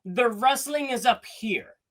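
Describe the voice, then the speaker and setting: A man's voice: very high-pitched, slow pace, a bit bright. A man with a nasal voice talking as a guest on a casual wrestling panel.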